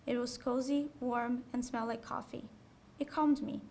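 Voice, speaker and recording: A woman's voice: on the high side, steady tone, moderate pace, darkish tone, slight background noise. A young woman whose first language is Portuguese, introducing and reading her short story at a podium. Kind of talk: prose reading. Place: small lecture room with audience. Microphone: podium gooseneck mic.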